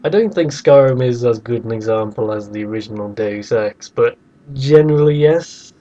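Mellow-voiced man debating games, slightly dull sound. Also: medium pitch, moderate pace. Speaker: podcast host. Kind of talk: casual debate about video games.

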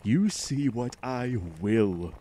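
Slow, menacing alien overlord. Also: male voice, medium pitch, big pitch swings, mellow, a little hiss or hum. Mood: contemptuous. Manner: slow, pompous, contemptuous declamation. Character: ancient, arrogant, menacing alien mind from a meteor. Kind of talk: retro radio drama acting.

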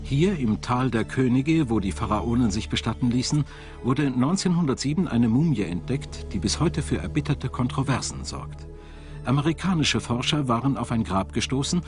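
A man narrating a scripted documentary in a measured, solemn storytelling manner. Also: medium pitch. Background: background music.

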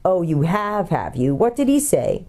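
A woman's voice: fairly low pitch, huge pitch swings, a little slow, a little mellow, exaggerated voice. A woman voicing a sulky, disagreeable schoolboy with a grumpy, curt manner.

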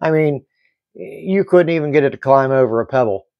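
A middle-aged man giving a casual hands-on hobby review in halting, explanatory short phrases. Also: a little high, animated, creaky, no echo, lo-fi sound. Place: small indoor hobby workbench.

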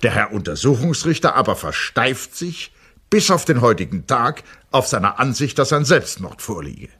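A man's voice: medium pitch, big pitch swings, moderate pace, sharp tone, slightly rough, heavily acted. A man playing an indignant, pompous public prosecutor delivering a formal, officious report in an audio drama. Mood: stern, officious.

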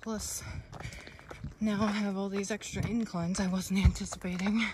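A woman narrating a hike, thinking aloud in a slow, musing way with soft whispered asides, recorded on a handheld phone outdoors. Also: medium pitch, level tone. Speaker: hiking vlogger. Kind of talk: casual hiking narration. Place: outdoors on a wooded trail. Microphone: phone camera held by the narrator. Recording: faint outdoor noise.

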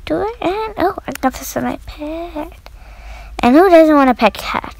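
A woman casually narrating her gameplay, rambling and halting as she thinks aloud. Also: sits high, expressive, gravelly, darkish tone. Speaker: gaming video host.

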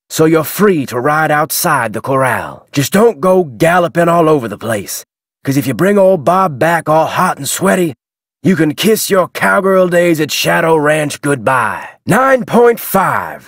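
A gruff ranch wrangler in a mystery game. His voice is husky and a little high, with clipped cowboy phrasing that moves around a lot, recorded dry. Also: dry recording. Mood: matter-of-fact. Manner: folksy cowboy talk, clipped. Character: gruff male ranch wrangler quizzing a rookie rider. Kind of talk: video game character voice lines.

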